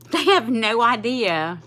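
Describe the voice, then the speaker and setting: A woman's voice: fairly high pitch, big pitch swings, takes it slowly, bright, forceful tone. A woman, chatting casually and rambling on a livestream with viewers. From South Louisiana, US. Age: 50s. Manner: chatty, rambling, laughs easily. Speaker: livestream host.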